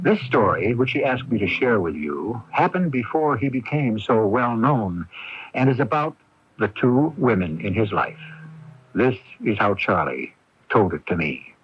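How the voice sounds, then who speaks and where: An actor playing a literate, urbane storyteller host introducing a radio drama. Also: male voice, fairly low pitch, big pitch swings, a little slow, husky, heavily acted. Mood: measured, wry. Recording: dull old AM radio recording.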